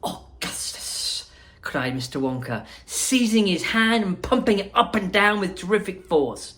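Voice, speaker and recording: A woman, a school principal reading a storybook aloud expressively, with pauses and small asides. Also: mid-range voice. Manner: unhurried, expressive, pausing.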